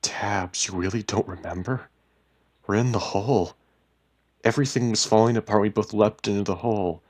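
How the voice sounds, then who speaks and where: A man's voice: lowish voice, moderate pace, darkish tone, pitched above the performer's own voice, natural voice. A man voicing a bewildered, defensive husband in a dramatic story reading, insistent and emphatic. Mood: urgent, wary. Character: bewildered, defensive husband who hears voices.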